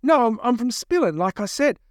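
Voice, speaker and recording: A man reads the part of a frightened male captive in an audiobook. His voice is pushed high and close, with little room around it. He protests and pleads defensively, stumbling over his words. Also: lively intonation, a little fast, no room sound. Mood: frightened, protesting. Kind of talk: dramatised audiobook dialogue.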